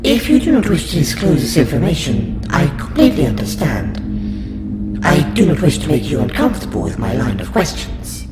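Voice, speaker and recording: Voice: medium pitch, huge pitch swings, fairly quick. A performer with a woman's voice playing a courteous, curious alien scientist in an audio roleplay, questioning a human in formal, clinical wording. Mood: polite curiosity. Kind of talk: audio roleplay monologue to the listener. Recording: roomy echo under loud music.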